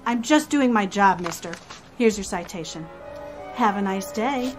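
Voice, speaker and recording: An actress in a radio drama playing a firm, matter-of-fact parking officer. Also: female voice, medium pitch, animated, unhurried, music in the background, lightly acted. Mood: dry, official.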